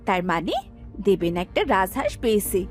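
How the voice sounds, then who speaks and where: Female actor as greedy village wife, cartoon, medium pitch, animated, scolding, exasperated, soft background music. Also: brisk, close to an everyday voice. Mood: nosy, questioning. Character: nagging, greedy village housewife scolding her husband. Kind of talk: cartoon voice acting.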